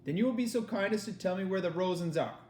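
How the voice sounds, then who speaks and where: A man's voice: high-pitched, fast, a little mellow, roomy sound, slight background noise, voice pushed up, lightly acted. A man voicing a stern, older German officer, angry and sneering, in a menacing, insistent manner. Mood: coldly insistent. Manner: barking orders, menacing, insistent.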